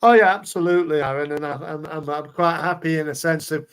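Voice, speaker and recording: A man's voice: on the high side, fairly even intonation, brisk, clear, resonant tone. A man co-hosting a casual football podcast, rambling along with repeated words and fillers. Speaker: podcast co-host.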